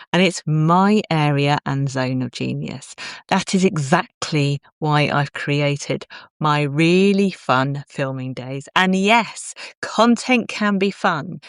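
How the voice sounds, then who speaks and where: A woman's voice: low-pitched, dry recording. British woman podcast host, close dry sound. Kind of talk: conversational interviewing and promotional talk.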